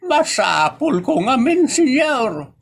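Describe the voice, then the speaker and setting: A man voicing an excitable, whining old grandfather in a comedy audio drama, with an Ilocano Filipino accent. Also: very high-pitched, slow, gravelly, husky, heavily acted. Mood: agitated, whining.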